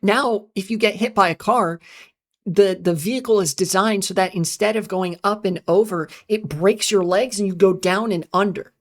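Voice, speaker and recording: A woman hosting a casual livestream, her voice slightly raspy and strained from long talking, speaking in halting bursts with many fillers and restarts. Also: medium pitch. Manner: halting, many fillers and restarts, talks fast then slows. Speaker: livestream host. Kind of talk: casual livestream chat, reading chat and rambling on.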